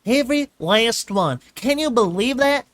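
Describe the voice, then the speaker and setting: A reader voicing an excitable little filly pony girl, chatty and pleading in a squeaky voice. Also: measured pace, dry recording. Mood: excited. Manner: squeaky, shrill and bouncy.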